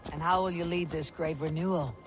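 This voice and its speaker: An actress playing a steady, proud female Viking chieftain in a video game, measured, wry and courteous. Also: low-pitched, music in the background, lightly acted. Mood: questioning, calm. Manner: measured, wry, self-assured. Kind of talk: video game cutscene acting.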